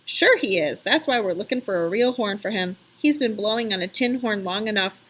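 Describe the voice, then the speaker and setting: A woman's voice: medium pitch, animated, brisk, a bit bright, slightly rough. A woman voicing a protective, shrewd male character in a children's storybook, speaking in a plain, polite, canny way. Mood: proud. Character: Alex, protective, shrewd male friend bargaining for Louis.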